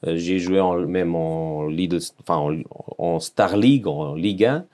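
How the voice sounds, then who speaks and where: A man's voice: low voice, measured pace, darkish tone. A man in his forties from Serbia with a Serbian accent, telling his life story as a podcast guest in earnest French, searching for words and repeating phrases. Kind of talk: personal storytelling in an interview.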